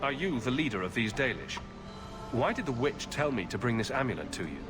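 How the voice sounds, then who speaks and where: An actor playing a wry, direct human adventurer in a fantasy video game. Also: male voice, medium pitch, sing-song, brisk, music in the background, natural voice. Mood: questioning.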